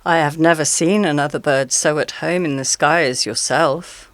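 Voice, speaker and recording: An actor playing a cunning female fossa in a radio play, talking in drawn-out, honeyed flattery. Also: medium pitch, natural voice. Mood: admiring.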